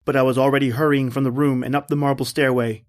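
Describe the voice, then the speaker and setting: A man's voice: medium pitch, little pitch movement, fast talker, natural voice. A man as a grave, wary hunter. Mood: tense, brooding. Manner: grave, earnest, dramatic. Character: principled male hunter, wary and appalled guest. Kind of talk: old-time radio drama acting with first-person narration.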